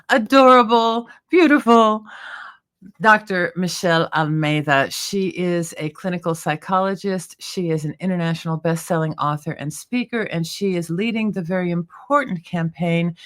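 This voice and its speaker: A woman hosting an interview show, effusive and lively as she asks questions and praises her guest. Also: lowish voice. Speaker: show host.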